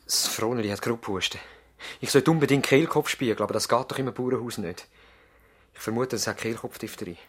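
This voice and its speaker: Radio drama: man playing worried country physician, Swiss accent, medium-pitched slightly rough voice, formal and earnest. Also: fairly quick, lightly acted. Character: earnest, worried young male country doctor. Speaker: actor playing a doctor. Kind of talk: German-language radio drama acting. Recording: slightly veiled 1970s radio drama sound.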